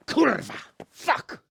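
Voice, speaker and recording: An actor playing a blustering, hot-tempered older lord in a video game cutscene, gruff and quick to swear. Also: male voice, bright, forceful tone, slight background noise. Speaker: nobleman lord.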